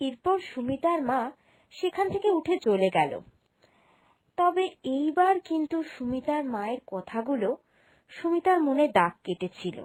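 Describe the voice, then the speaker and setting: A woman's voice: sits high, sing-song, unhurried, a little mellow, rough recording. A woman storyteller reading scripted narration for a cartoon moral tale.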